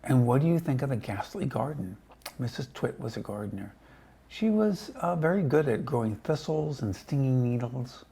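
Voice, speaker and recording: A man reading a children's storybook aloud casually, with chatty asides to his listeners and a slightly husky voice. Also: mid-range voice, animated, moderate pace, warm tone. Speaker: storybook reader.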